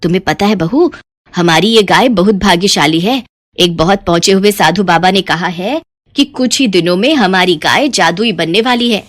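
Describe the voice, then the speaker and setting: A crafty older village aunt , as a female narrator plays her. She sounds fed up yet sly and confiding, pitched a little under ordinary female talk, in a cartoon moral tale. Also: normal speed, dry recording, close to an everyday voice.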